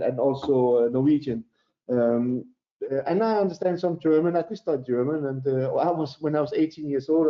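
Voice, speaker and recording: A Danish man speaking English as his second language, his voice sitting a little high and bouncing around a roomy space. He talks in a halting, restarting way, full of fillers. The video-call sound is dull and lo-fi. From Denmark. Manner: halting, many fillers and restarts, laughs often. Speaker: guest. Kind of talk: casual interview storytelling.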